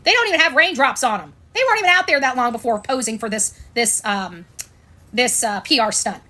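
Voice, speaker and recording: A woman's voice: a little high, animated, fairly quick, sharp tone. An American woman giving informal, opinionated livestream commentary in a blunt, sarcastic way, repeating phrases for emphasis. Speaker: online commentator and show host.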